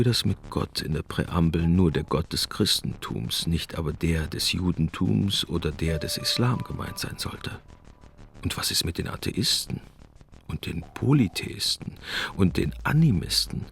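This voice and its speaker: A man's voice: low voice, vocal fry, a bit bright. A man giving essayistic commentary in a dry, explanatory way.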